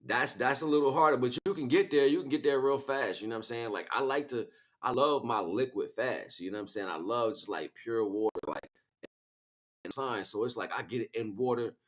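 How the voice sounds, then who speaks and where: A man's voice: mid-range voice, fairly quick. A man livestreaming a casual, rambling spiritual monologue in street slang, often checking in with rhetorical questions. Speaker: livestream host.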